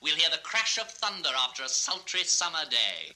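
An actor playing an earnest, admiring gentleman painter in a declamatory 1960s children's record style. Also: fairly high pitch, sing-song, moderate pace, heavily acted. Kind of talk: 1960s children's record radio-play acting.

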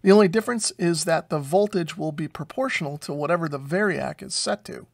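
Higher-voiced American man explaining methodically. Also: a little fast, creaky. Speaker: electronics repair video host. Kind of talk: informal technical tutorial. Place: small unfinished basement workshop.

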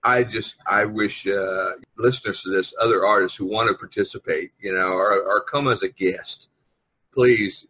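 A middle-aged man with a husky voice hosting a casual group chat, talkative and often repeating and rephrasing himself. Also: on the low side, a bit bright, poor audio. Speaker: podcast host. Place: online video conference call.

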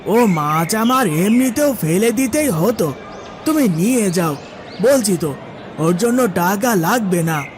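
A man playing a blunt but soft-hearted village fish seller in a cartoon fairy tale, plain-spoken as he haggles. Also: high-pitched, expressive, slow, over music. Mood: relenting. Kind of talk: animated fairy tale acting.

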